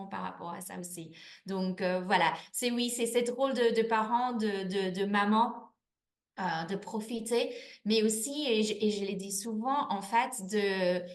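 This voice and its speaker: A woman's voice: medium pitch, measured pace. A woman in her thirties answering interview questions in a chatty, candid way, with lots of restarts and fillers. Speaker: network marketing manager, guest. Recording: echoey room.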